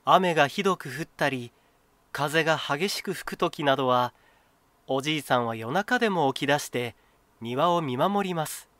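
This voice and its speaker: Male narrator, animated intonation, fairly high pitch, dry close sound, expressive folktale reading. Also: moderate pace, dry recording. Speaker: storyteller. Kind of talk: scripted children's folktale narration.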